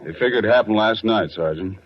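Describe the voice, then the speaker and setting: A male actor playing a terse, matter-of-fact American policeman reporting in an old radio drama, with a husky voice. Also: lowish voice, a little fast, lightly acted. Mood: sombre reporting. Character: subdued uniformed policeman reporting to superiors. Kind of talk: mid-century American radio drama acting. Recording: dull old radio recording.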